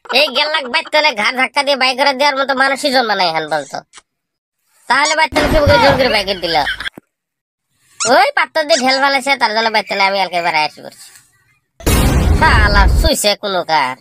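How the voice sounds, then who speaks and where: Cartoon village layabout, young male figure played by an actor: high pitch, rural Bangladeshi dialect, grumbling and boastful, clearly noisy audio. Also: lively intonation, fairly quick, long pauses. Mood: complaining. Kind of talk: comic cartoon dialogue.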